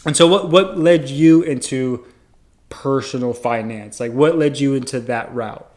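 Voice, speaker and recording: A man's voice: mid-range voice, unhurried, a little mellow. A young man hosting a podcast, friendly and enthusiastic, often stammering and restarting his sentences. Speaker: podcast host. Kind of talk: casual interview chat.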